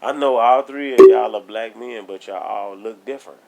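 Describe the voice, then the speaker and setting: A man's voice: mid-range voice, moderate pace, creaky, warm tone, a little hiss or hum. A man co-hosting a casual podcast, blunt and argumentative, laughing as he makes his points. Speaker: podcast co-host.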